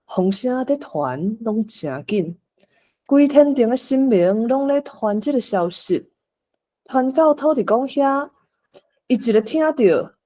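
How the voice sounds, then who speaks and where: A soft, dark-toned woman with a Taiwanese accent narrates a folk tale at a slow pace. She tells it as a scripted story, guiding the listener through it. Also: medium pitch.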